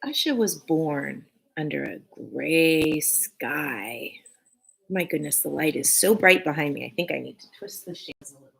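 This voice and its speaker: A woman's voice: low-pitched, animated, gravelly, breathy edge, poor audio. A woman teacher reading a picture book aloud to children expressively, with short phrases and casual asides. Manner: addresses young listeners, pausing between short phrases.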